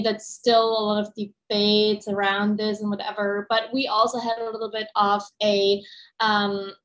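A woman's voice: medium pitch, poor audio. A woman from East Germany with a German accent, telling her life story as a podcast guest in a candid, rambling way with many ums. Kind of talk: casual interview chat.

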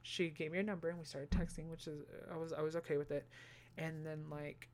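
A young man with a high-pitched voice, telling stories in a casual monologue, animated, swearing freely and tossing in sassy asides. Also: a little fast, slight background noise. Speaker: vlogger.

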